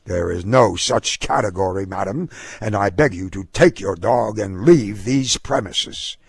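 A man's voice: lowish voice, unhurried, creaky, breathy edge, dry recording, clean studio sound, full character voice. Man acting a haughty, officious male official. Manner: formal, disdainful, commanding.

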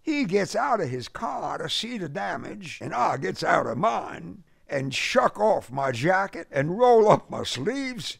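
A brash, hot-tempered Southern ex-boxer uncle, as a male narrator acts him out, pitched well up and very animated. He is loud, folksy and boastful, a complainer and tall-tale teller from a period audiobook. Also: unhurried, vocal fry, few pauses, husky, dry recording, clean studio sound, exaggerated voice. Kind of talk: audiobook character voice in a period story.

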